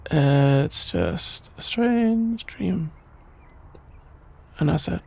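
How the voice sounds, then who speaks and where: A man playing a shy, sleepy young best friend in an ASMR roleplay, stammering, hesitant and evasive in a creaky, drowsy voice. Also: a little high, expressive, drawn-out words, darkish tone, exaggerated voice. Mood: embarrassed, evasive.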